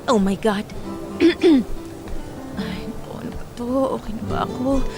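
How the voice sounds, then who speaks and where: Shy nervous girl in radio drama, kinda high voice, hesitant and flustered, music under it. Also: lively intonation, takes it slowly. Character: nervous, bashful young woman hiding her feelings.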